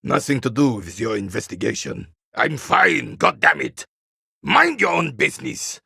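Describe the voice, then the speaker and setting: An actor playing a proud, bitter old royalist soldier in a video game, stern and disdainful, with a gravelly, raspy voice. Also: male voice, mid-range voice, sing-song, unhurried, pushed and bright, no room sound, studio quality, heavily acted. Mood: guarded, then irritated. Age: older. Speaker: retired carabinier working as a guard. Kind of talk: video game character dialogue.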